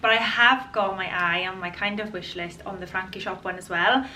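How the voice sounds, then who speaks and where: Slightly hoarse, bright-voiced woman vlogger. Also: mid-range voice, moderate pace, far from the mic, a little hiss or hum, low-quality recording. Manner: chatty, enthusiastic, restarts and repeats words. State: hoarse from a cold. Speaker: fashion vlogger. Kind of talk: casual talk to camera. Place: bright room indoors.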